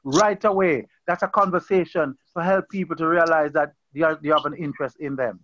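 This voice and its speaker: Earnest lay preacher, man, high pitch, conversational and repetitive, muffled call audio. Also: a little fast, fairly bright tone. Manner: earnest, conversational, repeats phrases and restarts. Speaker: pastor leading an online Bible study. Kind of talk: informal sermon on a video call. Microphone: video call.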